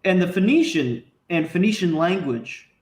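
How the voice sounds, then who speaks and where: A man's voice: high-pitched, animated, moderate pace, a little mellow. A young man talking animatedly in a casual podcast discussion over a video call, full of "like" and "I mean" fillers. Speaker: podcast panelist. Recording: roomy video call audio.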